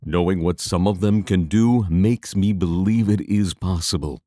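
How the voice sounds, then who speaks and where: A man acting as a young male adventurer in a fantasy audio drama. He has a deep voice and a serious, careful manner, recorded close and dry. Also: measured pace, mellow, no room sound. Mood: cautious, thoughtful.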